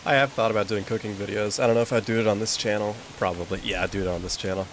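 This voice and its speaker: A young man with a slightly low voice chats loosely while he plays, rambling and dropping in plenty of filler words. A steady hiss sits clearly behind him. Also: rapid-fire, low-quality recording. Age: young adult. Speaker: gaming streamer. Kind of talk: casual chat while playing a game.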